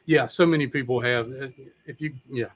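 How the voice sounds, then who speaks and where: Man, average pitch, folksy and profane, casual rambling podcast host. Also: moderate pace, a little hiss or hum. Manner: folksy, laid-back, profane.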